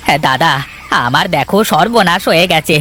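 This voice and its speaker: A man's voice: fairly high pitch, lively intonation, a little fast, pushed and bright, a little hiss or hum. A man playing a hapless, plaintive younger brother, a village fish farmer, in a cartoon. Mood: despairing. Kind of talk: cartoon voice acting.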